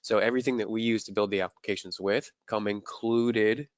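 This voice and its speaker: A man presenting a software demo in a casual, upbeat way, his voice slightly raspy from allergies. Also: lowish voice, fairly even intonation, fairly quick, no room sound, highs cut off.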